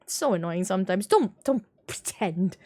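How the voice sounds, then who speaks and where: A woman's voice: mid-range voice, animated, normal speed, mellow, no room sound. A woman live streamer chatting casually and informally with her viewers while playing a game, in a giggly way. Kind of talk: casual chat with stream viewers during gameplay.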